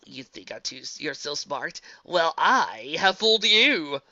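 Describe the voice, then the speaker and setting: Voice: mid-range voice, very animated, moderate pace, pushed and bright, no echo, voice pushed up. An amateur reader voicing a whiny, hyper young man who screeches, babbles and breaks into song. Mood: gloating. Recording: dull lo-fi dry recording.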